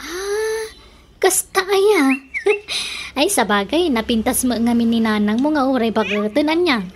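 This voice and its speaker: A woman's voice: high-pitched, animated, measured pace, noisy background. A woman with an Ilocano accent playing a nosy, quarrelsome middle-aged villager in a comedic radio drama, teasing and bickering animatedly. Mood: curious, gossipy.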